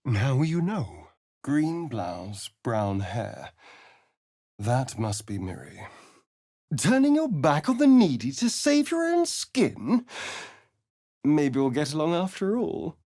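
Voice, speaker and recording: A man's voice: a little high, huge pitch swings, slow pace, long pauses, warm tone, no echo, studio quality, heavily acted. An actor playing a sly, vain, sardonic male vampire rogue in a video game, with an arch, drawling, theatrical delivery. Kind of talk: theatrical video game character lines and narration.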